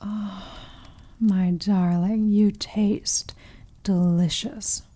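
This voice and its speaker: A woman playing a possessive, sadistic female vampire in an ASMR roleplay, seductive and commanding, purring condescending endearments and slipping into breathy whispers. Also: mid-range voice, level tone, drawn-out words, mellow. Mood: hungry, sensual.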